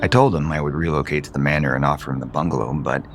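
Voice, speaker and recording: A man's voice: rumbling low, fairly even intonation, rapid-fire, over loud music. A male actor playing a guarded, conflicted adult son and unhappily married father in an audio soap opera. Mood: tentative. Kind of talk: naturalistic soap opera acting.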